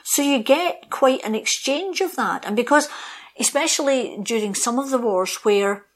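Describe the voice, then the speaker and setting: A middle-aged woman from Scotland, co-hosting a podcast in casual, animated conversation full of ums and asides. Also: fairly high pitch, normal speed. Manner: animated storytelling with frequent ums and you-knows. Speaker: podcast co-host.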